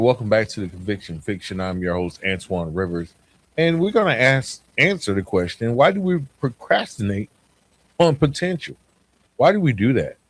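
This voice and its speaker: A man hosting a motivational podcast monologue, speaking in a deliberate, emphatic way and putting questions to the listener. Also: mid-range voice. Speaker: podcast host.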